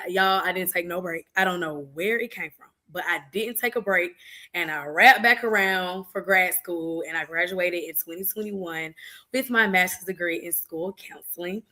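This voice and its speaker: A woman's voice: fairly low pitch, moderate pace, pushed and bright. A young woman with an Atlanta Southern accent, introducing herself as an interview guest in a playful, chatty, familiar way. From Georgia, United States. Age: young adult. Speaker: interview guest, school counselor. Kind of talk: casual chat introducing herself.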